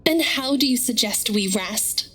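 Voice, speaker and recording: A woman playing a wary, sharp-tongued crew member in an audio drama, skeptical and matter-of-fact. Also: on the high side, animated, measured pace, breathy edge, exaggerated voice.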